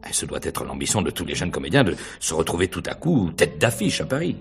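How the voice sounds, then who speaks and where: Deep-voiced, wry investigator. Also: male voice, very animated, fast, vocal fry, heavily acted. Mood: probing. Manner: insinuating questions, hesitant repetitions, knowing chuckles. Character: probing, wry male police investigator, middle-aged. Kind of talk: 1950s French radio mystery acting.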